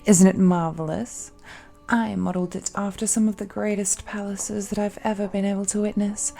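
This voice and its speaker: A woman playing an ancient, all-powerful, possessive villainess who speaks condescendingly and coaxingly in a romantic audio roleplay, often dropping to a breathy whisper. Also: medium pitch, little pitch movement, normal speed, warm tone, soft background music, close to an everyday voice. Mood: proud, indulgent. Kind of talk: romantic audio roleplay, intimate monologue to listener.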